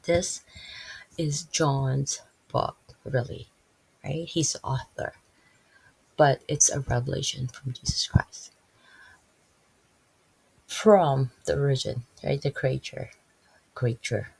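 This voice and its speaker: A woman's voice: very deep voice, slow pace, gravelly, long pauses, darkish tone, slightly rough, no room sound, low-quality recording. A woman from the Philippines with a Filipino accent, reading Bible verses and explaining them in a casual, rambling way full of fillers and restarts. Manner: rambling, many fillers and self-corrections. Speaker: Bible video host.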